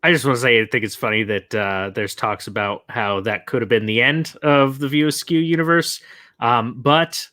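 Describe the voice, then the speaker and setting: A man's voice: mid-range voice, normal speed, sharp tone, dry recording, studio quality. A man co-hosting a podcast, giving his opinions on films in casual conversation. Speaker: podcast co-host. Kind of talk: casual film review chat.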